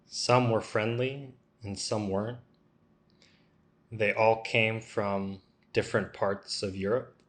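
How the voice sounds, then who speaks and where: A man voicing interview answers for a signing guest, in a measured, unhurried delivery. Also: lowish voice, fairly even intonation.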